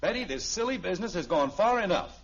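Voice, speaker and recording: Animated, wisecracking American dad. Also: male voice, high voice, fast talker. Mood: exasperated, stern. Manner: sarcastic, animated, blustering. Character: exasperated, wisecracking middle-aged sitcom father. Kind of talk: 1950s radio sitcom acting. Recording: dull, noisy vintage radio recording.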